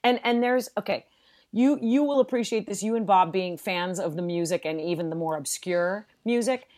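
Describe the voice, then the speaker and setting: Woman, podcast guest, big loud voice, emphatic, salty and funny. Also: mid-range voice, moderate pace. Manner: emphatic, profane and joking. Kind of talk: casual chat.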